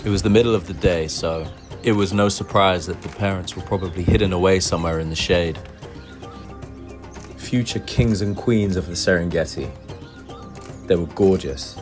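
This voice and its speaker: Low-voiced man narrating a safari vlog. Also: moderate pace, pauses often, soft background music. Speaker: wildlife photographer and vlogger. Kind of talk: reflective travel narration.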